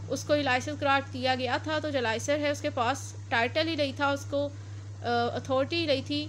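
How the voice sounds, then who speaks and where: A woman's voice: high voice, steady tone, moderate pace, fairly bright tone, slight background noise. A woman giving a law lecture, explaining step by step and often stopping to correct herself. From Pakistan.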